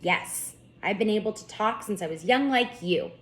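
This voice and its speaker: A woman voicing a lively, wise male pet turtle in a storybook, gently encouraging and full of wonder. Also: medium pitch, moderate pace, pitched above the performer's own voice, natural voice. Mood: friendly.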